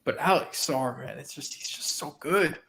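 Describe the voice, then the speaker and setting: A man with a slightly raspy voice co-hosting a casual basketball podcast, eager and quick to agree. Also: fairly high pitch, a bit bright. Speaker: podcast co-host. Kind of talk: casual sports podcast chat.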